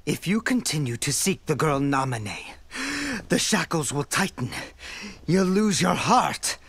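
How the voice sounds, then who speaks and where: A man playing a haughty, cold villain scientist in a video game, with theatrical, drawn-out contempt. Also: fairly high pitch, animated, creaky, a bit bright, husky, full character voice. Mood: scornful menace. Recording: music behind the voice.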